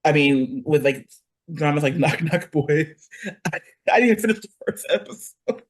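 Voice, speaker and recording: A woman's voice: low-pitched, expressive, normal speed. A woman in casual chat, talking bluntly and swearing freely. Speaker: podcast guest.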